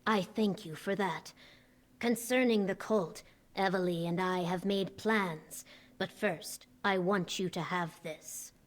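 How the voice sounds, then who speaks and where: An actress playing a grave, commanding military leader in a video game, speaking formally and with measured words. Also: female voice, on the low side.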